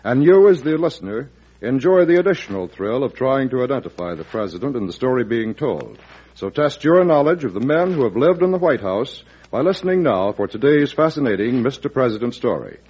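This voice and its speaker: A man's voice: mid-range voice, expressive, fairly quick, a little mellow, slightly rough. An American man announcing in a formal, earnest, scripted 1940s radio style. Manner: earnest, formal promotional delivery. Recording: muffled vintage radio recording.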